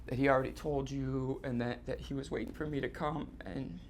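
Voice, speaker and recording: An actor playing a distraught, guilt-ridden man on stage, confessing in halting, repetitive, stammered phrases in a live theatre monologue. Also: medium pitch, fairly even intonation, a little hiss or hum, lightly acted. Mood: subdued, wistful. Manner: halting, stammering, repeating himself.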